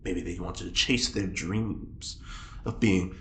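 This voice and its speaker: A man's voice: deep voice, slow, a bit bright. A man playing a scheming, teasing prisoner in an audio drama, with a sly, theatrically coaxing manner. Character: smooth-talking, scheming, teasing male prisoner. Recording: echoey room with faint noise.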